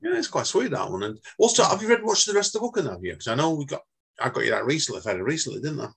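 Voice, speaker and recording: Chatty husky man. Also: mid-range voice, very animated, fairly bright tone. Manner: chatty, laughs often, quick asides. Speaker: podcast host. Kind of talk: casual chat.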